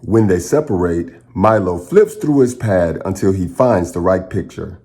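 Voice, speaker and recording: A man reading a children's story aloud as a storytime host, with dramatic, pausing phrasing aimed at young listeners. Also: low voice, expressive, mellow. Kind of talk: expressive picture-book read-aloud.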